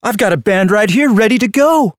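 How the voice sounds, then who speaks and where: A man playing an obsessive fan in a theatrical audio drama, fawning and needling with drawn-out phrasing. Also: sits high, lively intonation, over loud music. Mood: coaxing, taunting. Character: gushing, needling obsessive fan of a dead star.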